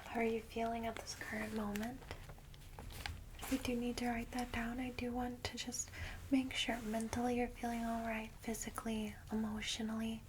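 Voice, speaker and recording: Faint steady noise sits behind a breathy, whispered ASMR roleplay. A woman plays a caring female vampire guide, gentle and reassuring, with a fairly high, even voice that stays hushed and soft. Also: moderate pace, lightly acted. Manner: gentle, reassuring, hesitant asides.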